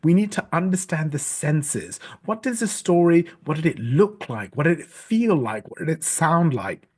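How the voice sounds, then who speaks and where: A middle-aged man from Sri Lanka coaches staff on storytelling in a recorded talk. He speaks in an earnest, measured way, often restarting phrases with ums and uhs, and sometimes spells words out letter by letter. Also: a little high, lively intonation, a little mellow, breathy edge.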